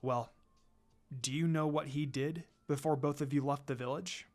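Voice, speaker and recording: A woman's voice: low voice, steady tone, normal speed, darkish tone, soft background music, pitched below the performer's own voice, lightly acted. A woman voicing an easygoing, curious adult male ninja teacher in a fan fiction reading. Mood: casual, curious. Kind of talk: fan fiction character dialogue read aloud.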